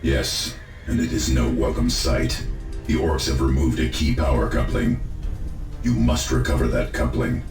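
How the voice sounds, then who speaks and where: An actor playing a grave space marine tech officer in a video game, giving formal, measured mission briefings. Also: male voice, rumbling low, vocal fry, heavily acted. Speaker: tech specialist briefing a commander. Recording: echoing voice under game music.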